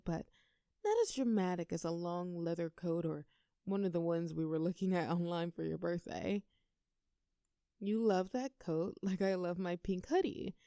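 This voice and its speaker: A woman's voice: lowish voice, dark tone, clear, resonant tone, no echo, studio quality, lightly acted. A young woman playing a bubbly, affectionate girlfriend in an intimate audio roleplay, talking playfully and tenderly to her partner in a coaxing, conversational way.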